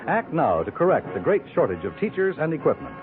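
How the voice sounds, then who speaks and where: A man's voice: on the high side, wildly swooping pitch, brisk. A man with an American accent reading formal, declamatory scripted announcements as a 1950s radio announcer. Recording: narrow-band 1950s radio broadcast recording. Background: music.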